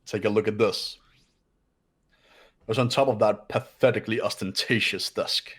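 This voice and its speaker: A man voicing a haughty, condescending young man in a game playthrough, speaking pompously and deliberately. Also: lowish voice, steady tone, a bit bright, slightly rough. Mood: smug, analytical. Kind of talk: voiced video game playthrough.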